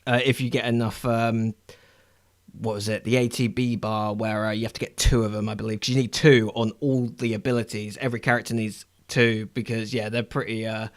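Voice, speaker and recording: A man chatting casually as co-host of a gaming podcast, often pausing to search for his words. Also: lowish voice, flat delivery.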